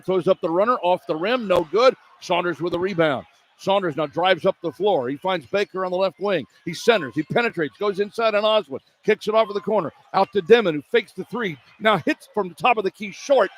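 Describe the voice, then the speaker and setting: Radio guy calling hoops play by play, higher voice, clipped phrases, some arena noise behind him. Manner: clipped running description in short phrases. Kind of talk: live basketball play-by-play commentary. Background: arena ambience.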